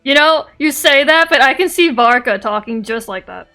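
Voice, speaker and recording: A woman's voice: sits high, lively intonation, moderate pace, bright, forceful tone. A woman streamer giving casual commentary on a video game in an irreverent, slangy manner. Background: music.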